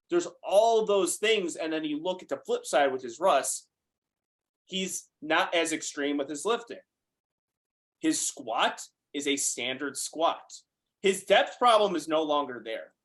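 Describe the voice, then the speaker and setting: A man in his late twenties talking powerlifting on a podcast, quick and animated, cursing casually. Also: a little high. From United States. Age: 20s. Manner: fast, animated, swears freely. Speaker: guest powerlifter.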